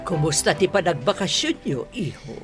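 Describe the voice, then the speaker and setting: A woman's voice: lowish voice, sing-song, normal speed, music in the background. A woman playing a stern, haughty mother and mistress of the house in a radio drama, scolding and imperious. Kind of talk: Ilocano radio drama acting.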